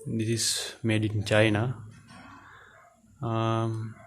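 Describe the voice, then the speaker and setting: A man giving an unscripted product demonstration in halting, hesitant short phrases, as the presenter of a gadget teardown video. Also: on the low side. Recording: clear steady background noise.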